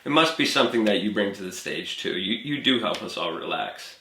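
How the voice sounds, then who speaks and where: Bright-voiced male host, echoey room. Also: fairly low pitch, a little fast. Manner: relaxed, affirming listener. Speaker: interview host. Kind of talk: interview hosting.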